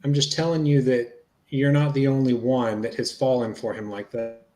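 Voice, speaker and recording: A man plays an investigator in a dramatic reading of a police interview. His pitch is average for a man. He speaks plainly and reassuringly, in a conversational way. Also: steady tone, normal speed, darkish tone, natural voice.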